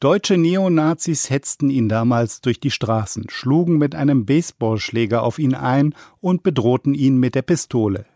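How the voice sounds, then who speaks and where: A male radio reporter reading a scripted portrait narration in a composed, even manner. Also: mid-range voice, moderate pace, few pauses, no echo, studio quality.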